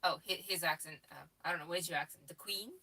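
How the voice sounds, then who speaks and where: Bulgarian woman, native bulgarian, casual livestream chat, keeps restarting her sentences, bright voice. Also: fairly low pitch, steady tone, far from the mic. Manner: halting, many restarts and laughs. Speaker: co-host. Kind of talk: casual live stream chat.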